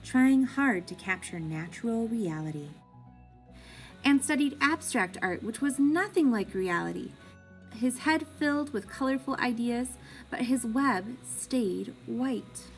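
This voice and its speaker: A woman reading a children's picture book aloud in an expressive, deliberate way, like a teacher with young pupils. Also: fairly high pitch, soft background music.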